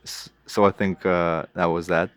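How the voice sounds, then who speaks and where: Soft-spoken Lithuanian-accented man, lowish voice. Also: flat delivery, smooth, no echo. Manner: halting, searching for words with many restarts. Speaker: guest artist. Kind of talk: interview answers.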